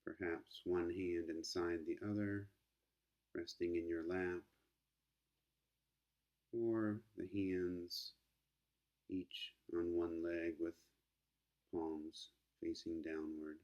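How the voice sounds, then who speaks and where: A man leading a guided meditation as a teacher, speaking gently and calmly with long unhurried pauses. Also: sits low, muffled recording.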